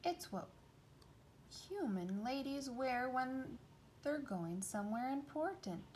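A woman's voice: high-pitched, animated, takes it slowly, a little mellow, slight background noise. A woman voicing a know-it-all male seagull in a storybook, explaining things with assurance.

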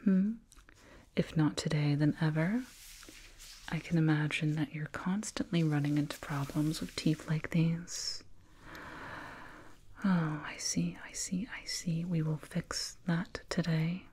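Slow, whispering alien dentist played by a woman. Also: sits low, fairly even intonation, heavily acted. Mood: reassuring, clinical. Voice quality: breathy whisper. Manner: slow, doting, clinical, gently patronizing. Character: condescending yet soothing alien dentist, fussing over a little human. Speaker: ASMR roleplayer. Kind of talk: whispered ASMR roleplay. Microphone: binaural mic, up close.

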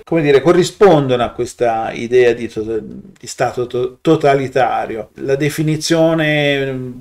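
A man, giving a reflective commentary to his webcam with frequent pauses, fillers and restarts. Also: mid-range voice. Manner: hesitant, many fillers and restarts, thoughtful. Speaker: video blogger.

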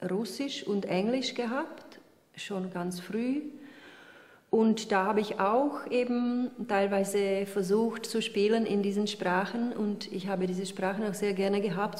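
Casting: Schauspielerin erzählt besonnen von sich, mit mittlerer Stimmlage und langem Nachhall. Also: female voice, mid-range voice. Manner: calm and reflective, with hesitant fillers. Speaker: actress. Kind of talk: self-presentation interview. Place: studio in front of a grey wall. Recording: reverberant room with long echo.

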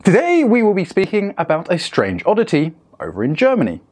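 A man's voice: sits high, wildly swooping pitch, brisk, darkish tone, husky. A young British man hosting a scripted explainer, talking in a playful, emphatic way with wry asides, on a lapel mic in a studio. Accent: British English. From United Kingdom. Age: young adult. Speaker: host. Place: studio in front of a screen. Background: background music.

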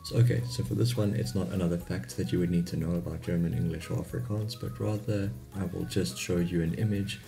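A man's voice: low voice, fairly even intonation, brisk, creaky, hardly any pauses, dark tone, soft background music. A man in his twenties with a South African accent, giving a chatty, informal explainer to camera about languages. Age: 20s. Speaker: YouTube host. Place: small home bedroom.

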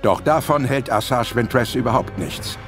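A man's voice: mid-range voice, moderate pace, a bit bright. A man narrating an audio drama in a dramatic, scripted style. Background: music.

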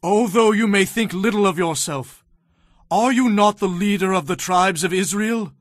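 An actor playing a stern, righteous Hebrew prophet in an audio Bible drama, declaiming in a commanding manner. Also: male voice, high-pitched, moderate pace, no echo, studio quality, natural voice. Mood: indignant.

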